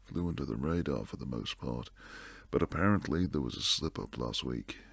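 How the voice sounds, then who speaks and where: A man playing a protective, devoted bodyguard and secret lover in an intimate boyfriend roleplay, low and husky, speaking earnestly close to the mic. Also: animated, moderate pace, dry recording, exaggerated voice. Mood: urgent, reassuring. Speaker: audio roleplay performer. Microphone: close, dry studio mic.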